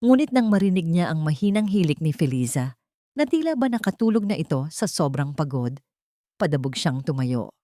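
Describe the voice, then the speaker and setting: A woman's voice: on the low side, dark tone, dry recording, studio quality. A woman narrating a dramatic story in a solemn, literary storytelling manner.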